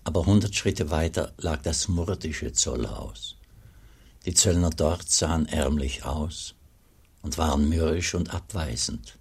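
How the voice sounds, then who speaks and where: An actor playing a humble, pious wandering monk in a German radio drama, husky and speaking in a measured, grave, formal way. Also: male voice, very deep voice, heavily acted. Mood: solemn, reflective. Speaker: actor, also narrating as the monk.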